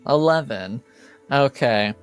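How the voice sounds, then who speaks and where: A man narrating a tabletop roleplaying game as game master, with a halting, deliberate delivery. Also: mid-range voice, expressive, clean tone, soft background music.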